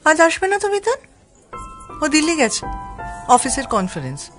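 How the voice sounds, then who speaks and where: A woman's voice: sits high, huge pitch swings, moderate pace, over music. An actress in an audio drama playing a guarded young woman with a curt, sardonic, scolding way of talking. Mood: reserved, dry.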